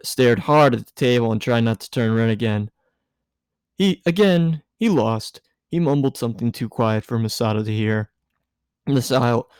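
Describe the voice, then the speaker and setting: A man with a mid-pitched voice reads a story aloud as an amateur narrator. He stumbles and corrects himself as he goes, in a dry, close-sounding recording. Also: darkish tone, smooth, no echo. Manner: stumbling, self-correcting reading. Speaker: amateur fan fiction reader.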